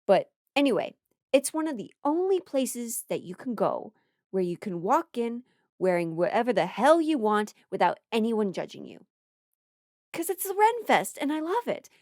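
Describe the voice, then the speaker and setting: A woman's voice: a little high, sing-song, normal speed, a little mellow, dry recording, clean studio sound. An American woman telling a casual comedic stand-up story, playful and theatrical, stretching words for emphasis and dropping mock-serious asides.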